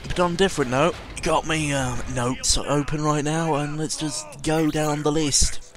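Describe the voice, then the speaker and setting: A man's voice: fairly high pitch, steady tone, normal speed. A man giving a casual, rambling audio-log monologue as host, sardonic and cynical, often interrupting himself and swearing freely.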